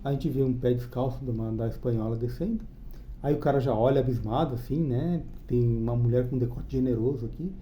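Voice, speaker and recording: Mellow-voiced Brazilian man reviewing comics. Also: mid-range voice, a little fast, slight background noise. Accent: Brazilian Portuguese. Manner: chatty, enthusiastic, frequent tag questions. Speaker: comic book reviewer and channel host. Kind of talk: casual spoken review.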